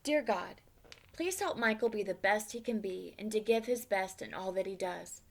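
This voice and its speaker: A woman voicing a mother who prays quietly over her sleeping son in a storybook. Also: mid-range voice, moderate pace, gravelly. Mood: prayerful. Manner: quiet, prayerful.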